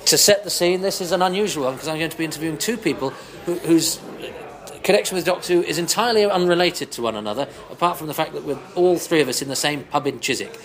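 A man's voice: a little high, expressive, fast. A man hosting a casual interview in a pub, chatty and enthusiastic. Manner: chatty, enthusiastic, stumbling restarts. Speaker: host.

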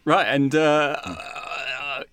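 A man's voice: fairly high pitch, flat delivery, drawn-out words, vocal fry, noisy background. A man interviewing guests casually on a podcast, jokey, with a somewhat hesitant way of phrasing.

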